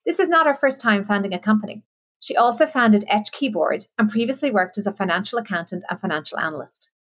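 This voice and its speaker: A woman hosting a podcast who reads a formal introduction and then asks polite, measured questions. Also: mid-range voice, lively intonation. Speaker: podcast host. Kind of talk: scripted intro and interview questions.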